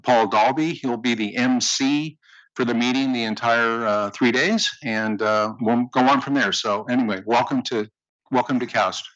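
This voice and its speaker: A man's voice: mid-range voice, fairly bright tone, no room sound. A man steps up to welcome a conference audience. He stumbles through restarts and fillers. The sound is dry and a little muffled, with a lo-fi edge. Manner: halting, with many restarts and fillers. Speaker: center director giving opening welcome. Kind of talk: informal welcome remarks. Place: conference hall stage. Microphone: podium microphone. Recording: dry, slightly dull conference audio.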